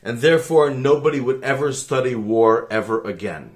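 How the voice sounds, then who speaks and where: A man's voice: mid-range voice, moderate pace. A man who is a rabbi makes an earnest appeal straight to the camera. He rambles, breaks off his own sentences and speaks with fervor. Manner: rambling, self-interrupting, fervent.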